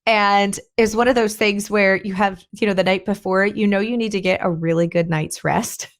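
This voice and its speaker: Hoarse woman chatting on a podcast. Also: medium pitch, fairly even intonation, moderate pace. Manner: chatty, rambling, thinks aloud with restarts. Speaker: podcast host. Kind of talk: casual solo podcast talk.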